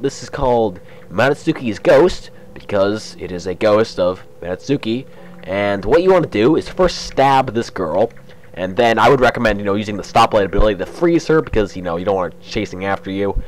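Young male let's-play host, lower voice, bit raspy from a cold, relaxed chatty narration, faint hiss behind. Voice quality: slightly raspy. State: has a cold. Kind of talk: casual gameplay commentary.

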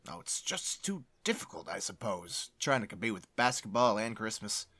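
A man playing an effusive, fretful choir director in an audio drama, fussy and excitable. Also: fairly low pitch, moderate pace, heavily acted. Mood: embarrassed, fretful. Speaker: actor in a drama.

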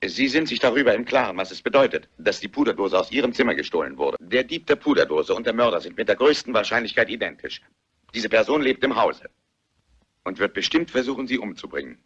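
An actor playing a stern, authoritative and easily exasperated police inspector in a German radio mystery. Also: male voice, lowish voice, animated, fast, fairly bright tone, breathy edge. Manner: authoritative, admonishing. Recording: muffled, dull radio play recording.

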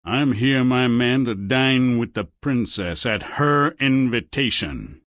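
A man's voice: medium pitch, expressive, takes it slowly, fairly bright tone, dry recording, clean studio sound. A man voicing a suave, wheedling, theatrical frog who is secretly a king, in a fairy-tale video game. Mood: smug, wheedling. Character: smug, courtly male frog, secretly a king.

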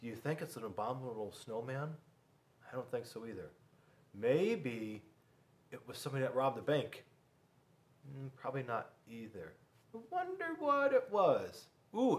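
A middle-aged man reading a children's story aloud in a classroom, expressively, with dramatic pauses and questions to young listeners. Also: medium pitch, big pitch swings, a bit bright. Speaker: school resource officer reading to children. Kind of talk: animated storybook read-aloud. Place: small school classroom, indoors.

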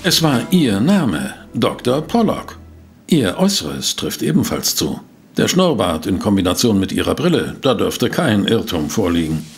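A man's voice: lowish voice, very animated, moderate pace, faint music behind. A man playing a wry, insistent newspaper reporter in a German audio drama. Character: brisk, wry male newspaper reporter and sidekick. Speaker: narrator and character actor. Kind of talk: German audio drama acting and first-person narration.

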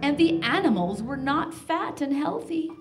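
A woman hosting and narrating a live children's show, giving clear instructions and encouraging listeners to join in. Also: high-pitched, very animated, normal speed, over music. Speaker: host and narrator. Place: live performance in a library room before an audience.

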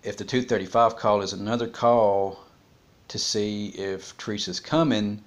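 Guy in his 40s rambling to his webcam at home, kinda low voice, stop and start, thinking out loud. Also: lo-fi sound. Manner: halting, thinking aloud. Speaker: vlogger discussing a criminal case. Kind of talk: casual, unscripted monologue. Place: small room at home, indoors.

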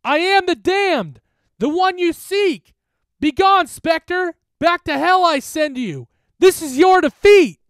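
A man playing a desperate, defiant teenage boy in a horror story, shouting a slow, emphatic incantation. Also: very high voice, expressive, no echo, clean studio sound, voice pushed up, heavily acted. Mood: desperate, intense.

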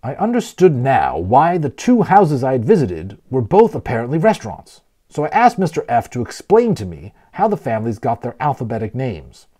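Animated man reading a storybook aloud. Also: medium pitch, normal speed. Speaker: audiobook narrator and storyteller. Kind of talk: animated read-aloud of a children's novel.

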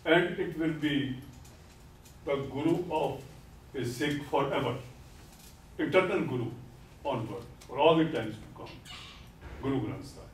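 An older man lectures in a very slow, deliberate way, repeating each key term. He stands at a podium in a classroom, picked up by a distant camera. The room is echoey, with faint noise behind him. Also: medium pitch, expressive. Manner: gestures while speaking at a podium. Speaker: lecturer on Sikhism. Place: classroom with whiteboard. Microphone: far camera.

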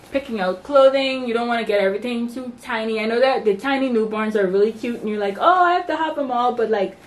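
A woman's voice: medium pitch, poor audio. A young woman from the Bahamas, chatting casually to camera in her bedroom as she shows off baby shower gifts. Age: young adult. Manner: chatty, lively, talks with her hands. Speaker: vlogger. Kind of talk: casual haul vlog. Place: bedroom, indoors.

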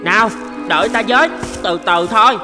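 A man's voice: high-pitched, normal speed, over music, lightly acted. A man voicing a naive, good-natured young peasant in a cartoon fairy tale, eager and wide-eyed. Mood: amazed.

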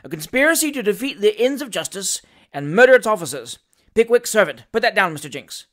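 Reader acting male magistrate, pompous, short-tempered, thundering delivery, very animated pitch, storybook character. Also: fairly quick, natural voice. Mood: haughty. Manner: pompous, blustering, loud.

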